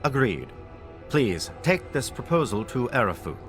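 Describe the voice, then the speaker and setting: A man voicing a formal, solemn leader of a vampire clan in a video game, speaking in measured, courteous phrases. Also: medium pitch, big pitch swings. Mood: measured, serious. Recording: music playing behind the voice.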